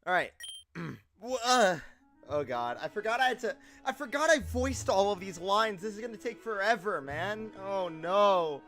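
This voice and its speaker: A man's voice: high-pitched, lively intonation, moderate pace, a bit bright, faint music behind. A man hosting a casual gaming livestream, rambling in a self-deprecating way. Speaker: livestream host, gamer. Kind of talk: casual livestream chat over gameplay. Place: live game stream, music behind the voice.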